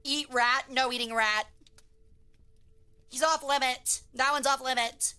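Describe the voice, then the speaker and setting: A woman streamer chatting casually with her chat in a hoarse voice, reading messages aloud. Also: sits high, steady tone, unhurried, sharp tone. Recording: faint music behind.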